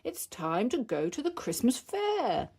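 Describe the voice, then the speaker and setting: Storybook dad pig , acted by a woman: jolly, hearty, a touch timid, animated delivery. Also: on the high side, a little slow, husky, pitched above the performer's own voice, heavily acted. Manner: hearty exclamations. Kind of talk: children's storybook character reading.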